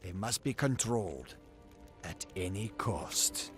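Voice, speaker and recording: An actor playing a haughty, scheming gnome patron in a fantasy video game, speaking in a pompous, measured, condescending way. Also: male voice, sits low, lively intonation, fairly bright tone, soft background music, full character voice. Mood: imperious.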